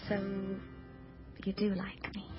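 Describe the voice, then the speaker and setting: An actress playing an impulsive, awkward young amateur sleuth in a naturalistic radio drama, halting and emotionally open. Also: female voice, dull audio, music in the background, close to an everyday voice. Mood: nervous, hopeful. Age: young adult.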